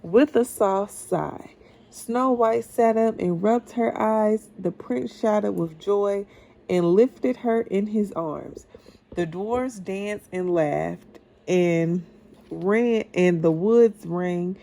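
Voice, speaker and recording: A woman's voice: mid-range voice, takes it slowly, vocal fry, dark tone, a little hiss or hum. A mother reading a children's story aloud, with casual asides to her child.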